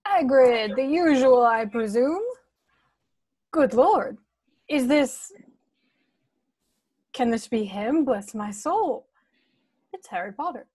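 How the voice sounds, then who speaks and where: Lady doing an awed barkeep in a script read, real slow, soft dark husky voice. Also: fairly high pitch, lively intonation, exaggerated voice. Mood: awestruck. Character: awestruck male pub bartender. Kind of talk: table read of a film screenplay.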